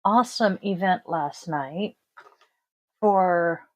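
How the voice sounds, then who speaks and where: Slow, tired, congested woman. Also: fairly low pitch, no echo. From Washington State, US. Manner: tired, rambling, trailing off with sighs. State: congested, sniffly. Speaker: tarot reader and livestream host. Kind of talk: casual livestream chat.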